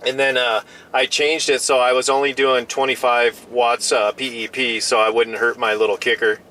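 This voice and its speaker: A man's voice: mid-range voice, little pitch movement, moderate pace, background noise. A man chatting casually as a radio hobbyist, often restarting and repeating his phrases.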